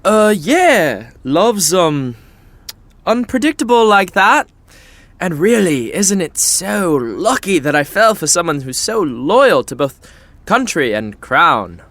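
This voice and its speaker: A flippant royal heir in a rom-com audio drama. The delivery is casual and animated, full of sarcasm and exasperation, recorded close and dry. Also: female voice, on the low side, takes it slowly, no room sound, full character voice. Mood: awkward bluffing. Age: young adult. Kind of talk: naturalistic romantic comedy audio drama acting.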